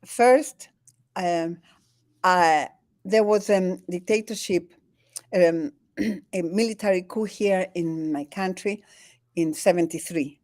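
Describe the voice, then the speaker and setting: An older woman from Chile with a Chilean Spanish accent, talking in an interview as a guest. Her native language is Spanish, and she speaks haltingly, with many restarts and frequent laughs. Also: on the low side, a little mellow, no echo, clean studio sound. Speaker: guest, spiritual teacher. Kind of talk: conversational interview. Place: home in the Andes.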